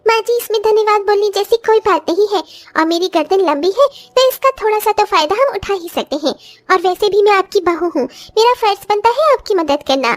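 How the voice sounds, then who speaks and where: A woman's voice: very high-pitched, expressive, a little fast. A woman voicing an eager, dutiful young village daughter-in-law in a cartoon story, sweet and reassuring. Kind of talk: Hindi cartoon story voice acting.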